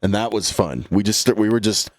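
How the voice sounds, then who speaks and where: A man's voice: on the low side, normal speed. A man in his late twenties co-hosting a podcast, telling a story in a laid-back, rambling way. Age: late 20s. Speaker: podcast co-host. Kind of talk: casual chat.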